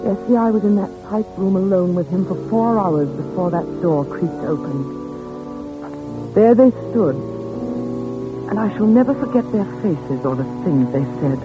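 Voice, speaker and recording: A terrified governess, played by a woman in a vintage radio play, her voice breathless and pleading. It sits on a dull old recording with loud organ music behind her. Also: medium pitch, animated, a little slow, lightly acted. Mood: haunted, narrating. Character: frightened female governess, later a haunted narrator. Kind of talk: 1940s radio acting.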